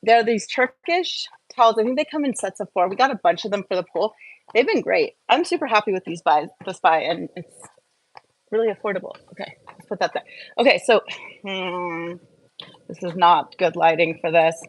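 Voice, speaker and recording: A woman's voice: medium pitch, animated, moderate pace, rough recording. A woman vlogging in a casual, chatty question-and-answer and review style, rambling and often interrupting herself as she gets distracted. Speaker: skincare and wellness vlogger.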